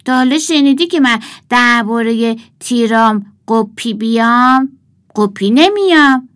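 Storybook boy archer, acted by a woman: lightly high voice, blunt, quick to take offence. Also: lively intonation, fairly bright tone. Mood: indignant. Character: plain-spoken boy doll archer, easily irritated. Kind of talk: children's storybook character.

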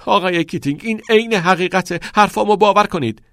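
A man's voice: sits high, fairly bright tone, no echo, clean studio sound, pitched above the performer's own voice. A man voicing a tearful, defiant teenage schoolboy who pleads urgently in an audiobook. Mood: desperate pleading. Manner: raised, urgent, emotional pleading. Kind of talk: audiobook character voice.

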